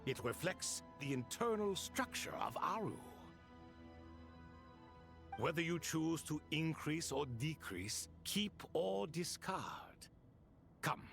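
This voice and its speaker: Theatrical, slow-talking ancient desert storyteller. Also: male voice, a little high, big pitch swings, vocal fry, a bit bright, soft background music, exaggerated voice. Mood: mysterious, proud. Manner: grandiloquent, deliberate storyteller. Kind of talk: dramatic video game voice acting.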